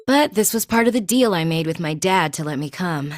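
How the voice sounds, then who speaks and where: Woman playing a suave, flirty noblewoman, lively and dry-miked. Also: fairly low pitch, fairly quick, dry recording, natural voice. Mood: amused, casual. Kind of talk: anime game dub acting.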